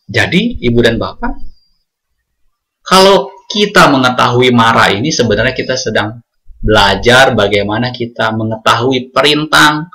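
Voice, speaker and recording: A man's voice: mid-range voice, lively intonation, pushed and bright. A man with an Indonesian accent preaching a Buddhist sermon, often addressing the congregation as he speaks. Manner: addresses congregation often, sings hymn lines slowly.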